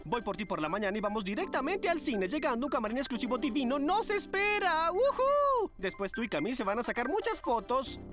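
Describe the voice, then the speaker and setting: Flamboyant, high-pitched cartoon agent. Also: male voice, very animated, a little fast, over music. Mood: excited. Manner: theatrical, gushing. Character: flamboyant, excitable young male modeling agent. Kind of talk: animated cartoon dubbing.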